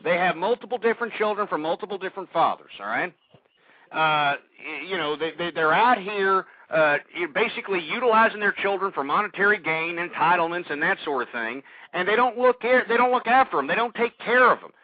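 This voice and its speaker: Guy hosting call-in radio, blunt opinions, kinda high voice, tinny phone-like sound. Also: expressive, fairly quick. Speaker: radio host.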